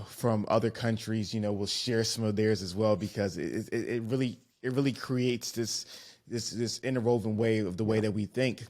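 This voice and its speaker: A man hosting a podcast, responding appreciatively in halting speech full of fillers. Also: on the low side, darkish tone. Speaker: podcast host. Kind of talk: podcast interview.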